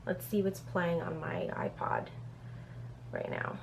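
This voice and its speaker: A woman in her thirties from Brazil chats through a casual question-and-answer video, reading each question off a printed page. Her voice sits a little low, with a gravelly fry running through it. A faint hum stays under the recording. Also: fairly even intonation, takes it slowly. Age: 30s. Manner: chatty, reads questions off a paper, many "um"s. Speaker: beauty vlogger. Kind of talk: casual get-to-know-me Q&A.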